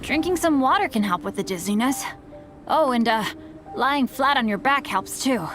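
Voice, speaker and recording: A woman's voice: sits high, expressive, moderate pace, a bit bright. An actress playing a good-natured, humble teenage boy adventurer in a video game, speaking in a mild, hesitant, earnest way. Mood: helpful, mild. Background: game music.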